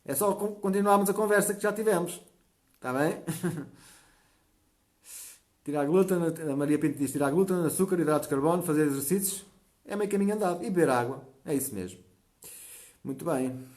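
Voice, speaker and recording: A man's voice: fairly high pitch, darkish tone. A man in his forties with a European Portuguese accent, hosting a natural health live stream and chatting through viewer comments. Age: 40s. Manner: chatty, often checks in with a quick "tá?". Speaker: natural health channel host.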